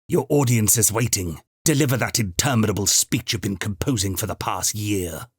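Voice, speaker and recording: A man's voice: medium pitch, expressive, unhurried, vocal fry, darkish tone, husky, no echo, clean studio sound, voice pushed up, full character voice. A man putting on a fierce old housekeeper woman. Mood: furious. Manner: emphatic, hissing, commanding.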